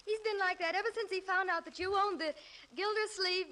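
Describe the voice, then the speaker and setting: An actress in 1940s radio comedy playing a polite young niece, courteous and gently chiding. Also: female voice, very high voice, fairly even intonation, fairly quick. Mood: apologetic, chiding. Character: polite, sweet young niece minding her brother. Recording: hissy old-time radio recording.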